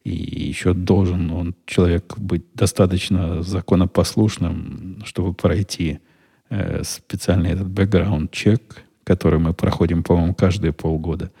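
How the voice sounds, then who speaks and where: A middle-aged man hosting a solo podcast, answering listener questions in a dry, wry, unhurried way. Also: deep voice, steady tone, vocal fry, warm tone, husky. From Russian-speaking country. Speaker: podcast host.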